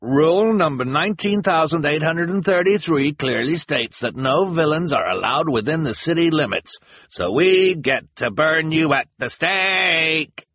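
A man's voice: a little high, expressive, measured pace, husky, no room sound, voice pushed up, exaggerated voice. Man doing a gloating bloodthirsty townsman. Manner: gloating and loud.

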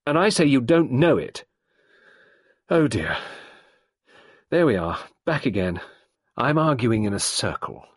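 Man voicing a fierce young Englishwoman, pitched up. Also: sing-song, measured pace, pauses often, slightly rough, no echo. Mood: indignant. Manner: emphatic, impatient, uncompromising. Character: fierce, argumentative young Englishwoman, sharp and stubborn.

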